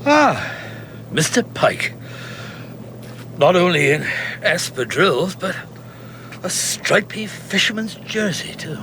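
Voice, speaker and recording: A man's voice: high voice, very animated, slow pace, pauses often, sharp tone, slight background noise, full character voice. An actor playing a wry, observant French police chief inspector who recounts his case conversationally in a radio drama. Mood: brisk, wry. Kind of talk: radio drama acting with first-person narration.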